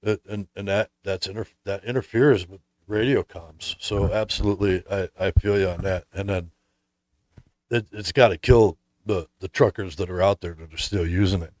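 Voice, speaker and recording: Low-voiced man, dry close sound. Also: fairly even intonation, normal speed, a little mellow, dry recording. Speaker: host. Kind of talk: casual conversation.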